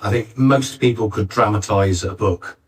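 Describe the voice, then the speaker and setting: A man with a husky voice giving his view on a discussion panel. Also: on the low side, moderate pace. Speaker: panel speaker, scriptwriter. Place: arts centre venue, live panel. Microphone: distant backup recorder. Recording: distant mic backup live recording.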